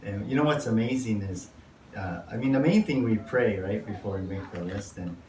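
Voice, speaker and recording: A man's voice: fairly low pitch, lively intonation, normal speed, mellow. A man, a pastor, leading a casual workshop Q&A and rambling relaxedly with lots of "you know.". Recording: roomy, far from mic, noisy.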